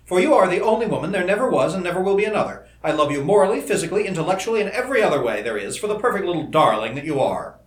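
Man playing an intense, high-strung young scientist. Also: huge pitch swings, quick pace, few pauses, fairly bright tone. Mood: fiercely adoring. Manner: intense, emphatic, effusive. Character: ardent, driven young male scientist in love. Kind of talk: old-fashioned storybook dialogue. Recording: roomy, echoing indoor recording.

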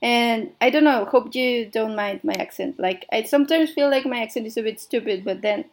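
A woman's voice: fairly high pitch, normal speed. A young Mexican woman who speaks Spanish natively, with a mixed Mexican and American-tinged accent, hosting a casual radio show and rambling with lots of "like" and "I don't know". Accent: Mexican, mixed with American English.

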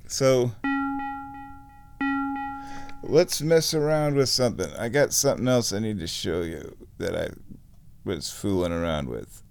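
A man giving a casual, unscripted music gear demonstration, thinking aloud in a rambling, halting way. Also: on the low side, expressive, vocal fry, darkish tone.

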